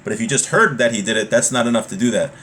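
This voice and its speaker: A man with a mid-range voice teaches a religious law class. He moves between reading a text and explaining it in a conversational way, going back over points to make them plain. There is clear background noise in the recording. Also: mid-range voice, racing, fairly bright tone.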